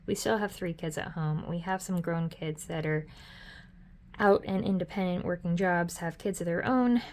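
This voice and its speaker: Chatty middle-aged woman, slightly hoarse. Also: fairly low pitch, normal speed, darkish tone. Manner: chatty and upbeat, with frequent ums and self-corrections. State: hoarse, losing her voice. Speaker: budgeting vlogger. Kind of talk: casual budget walkthrough.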